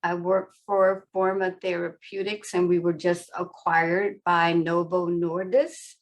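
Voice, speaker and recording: A woman in her sixties who works in patient engagement, introducing herself on a video call in a plain, steady way. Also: lowish voice, unhurried. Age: 60s.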